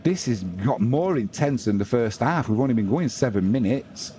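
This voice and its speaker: A man's voice: mid-range voice, animated, moderate pace, mellow, a little hiss or hum. A man doing informal, matey live rugby league commentary on a stream, mixing play-by-play with chatty asides to viewers. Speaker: sports livestream commentator and host.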